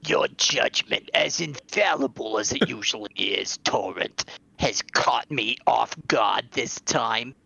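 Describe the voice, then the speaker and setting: Man playing a calm cloaked fantasy woman, creaky, bright, formal, slow storybook delivery. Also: medium pitch, heavily acted, poor audio. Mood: musing. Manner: measured, formal. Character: calm cloaked woman speaking to her horse.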